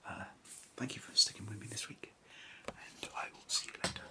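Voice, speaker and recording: A man vlogger softly whispering foreign words and their translations, with chuckling asides in a mock-foreign pronunciation. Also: a little fast.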